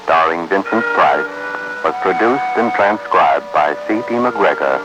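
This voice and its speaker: A man hosting and narrating a 1950s radio suspense show in a solemn, deliberate, dramatic manner. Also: low voice, expressive, over loud music, low-quality recording. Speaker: host and narrator. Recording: vintage 1950s radio recording.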